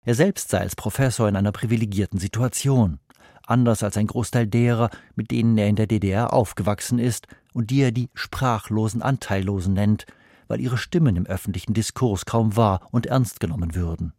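A man reads his scripted book review for radio in a steady, analytical way. His voice is low and dark. The studio sound is dry and close. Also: fairly quick, no echo. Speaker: book critic.

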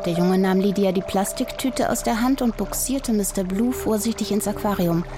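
A woman's voice: mid-range voice, normal speed, over music. A woman reading a children's audiobook as a scripted story narrator.

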